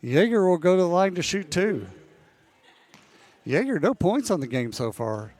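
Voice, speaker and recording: A man calling a women's college basketball game play-by-play, losing his voice and clearing his throat now and then. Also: high-pitched. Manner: steady, measured calls that rise on big plays. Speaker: play-by-play announcer.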